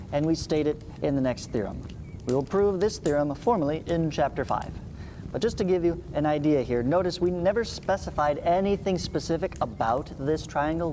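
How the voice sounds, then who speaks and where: A man in his thirties teaching a math lesson, reading aloud and adding informal explanations with little check-ins. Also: a little high. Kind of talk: read-along geometry lesson with explanatory asides. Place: small indoor room, seated before a green screen. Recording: clear steady background noise.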